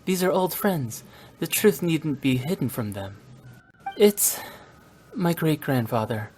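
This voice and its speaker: A man playing a courteous, composed young nobleman in a video game, speaking in a measured, formal way. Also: on the high side, lively intonation, a little mellow, soft background music. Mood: somber, composed. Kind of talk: English video game voice acting.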